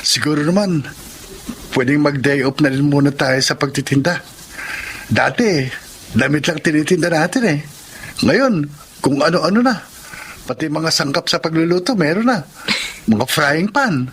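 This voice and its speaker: A man's voice: a little high, expressive, normal speed, long pauses, fairly bright tone, slight background noise. A man acting a devoted, comforting young husband and father with a Filipino accent in an audio melodrama. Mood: hopeful. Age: young adult.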